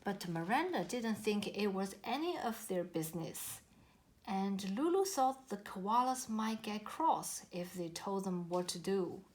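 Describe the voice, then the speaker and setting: A woman narrating a children's storybook aloud in an expressive, deliberate read-aloud style. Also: mid-range voice. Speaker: story reader.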